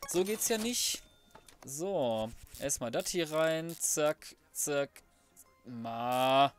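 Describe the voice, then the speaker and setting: A man's voice: fairly high pitch, expressive, very slow. A man giving casual, slangy gameplay commentary as a let's player, thinking aloud to himself. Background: background music.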